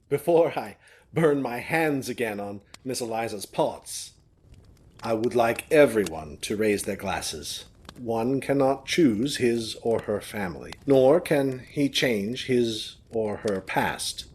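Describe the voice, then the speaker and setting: An actor playing a courteous, warm-hearted gentleman host of an old manor in an audio drama. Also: mid-range voice, lively intonation, slow pace, slight background noise. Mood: gracious, sincere.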